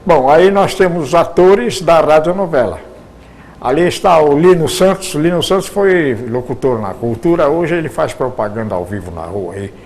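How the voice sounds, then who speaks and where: A man's voice: on the high side, expressive, normal speed, darkish tone, slight background noise. An older man, recalling his years in radio drama in an interview, often stumbling and repeating words. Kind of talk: reminiscing interview answers.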